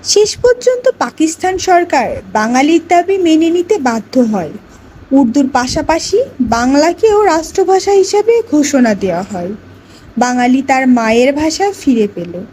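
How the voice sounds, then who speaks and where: A woman playing a schoolteacher in an educational cartoon, narrating a lesson and quizzing pupils in a deliberate, instructive way. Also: high-pitched, sing-song, a little mellow, slight background noise, rough recording. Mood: instructive, solemn. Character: female schoolteacher telling a history lesson to children. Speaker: teacher.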